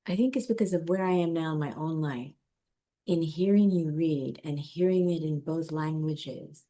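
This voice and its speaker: A woman hosting a podcast over a video call, soft-spoken and effusive in her praise. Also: low voice. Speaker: podcast host. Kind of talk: casual interview chat.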